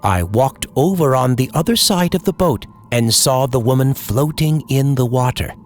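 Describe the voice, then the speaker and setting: A man's voice: medium pitch, animated, moderate pace, darkish tone, slight background noise. A man reading a scripted scary story in a theatrical old-time radio horror style over background music. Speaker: horror story host and narrator.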